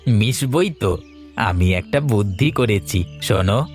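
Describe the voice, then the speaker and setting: A man voicing an eager, easily spooked village husband in a cartoon, chatty and expressive. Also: lowish voice, slow pace, faint music behind. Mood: cheerful, eager. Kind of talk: Bengali cartoon dialogue acting.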